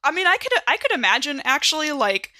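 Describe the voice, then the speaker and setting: A woman's voice: sits high, very animated, fast talker, sharp tone, no echo, clean studio sound. A woman casually improvising as a player in a tabletop roleplaying game, in loose conversational talk.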